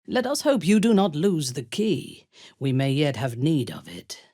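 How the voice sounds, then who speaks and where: A ruthless female drow warrior for a fantasy video game. Her voice is low and husky, delivered slowly and with haughty, formal diction. The intonation moves widely, from cold seduction to imperious command. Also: sing-song, mellow, dry recording, clean studio sound, heavily acted. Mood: cool, haughty. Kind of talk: video game character acting.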